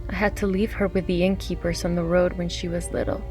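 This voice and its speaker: A woman's voice: fairly low pitch, level tone, brisk, a little mellow, soft background music, close to an everyday voice. A woman playing a sick, fragile young mother in a period audio drama, speaking in a plaintive, earnest way. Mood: wistful. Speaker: actor. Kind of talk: radio-style period drama acting.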